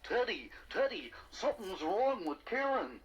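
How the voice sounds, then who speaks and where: A man's voice: high-pitched, very animated, measured pace, muffled recording. A man voicing a shy, lovestruck creature in a children's storybook recording, hesitant and bumbling in manner. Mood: worried. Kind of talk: children's storybook cassette acting with songs. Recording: 1980s cassette with loud music behind.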